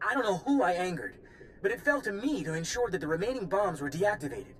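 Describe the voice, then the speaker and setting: An actor playing an anxious, harried Republic official in a video game, earnest and full of worried complaint. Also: male voice, sits high, lively intonation, fast, fairly bright tone, faint music behind, rough recording. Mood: frustrated, anxious. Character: frazzled, self-pitying male Republic official, anxious and pleading. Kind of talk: video game character acting.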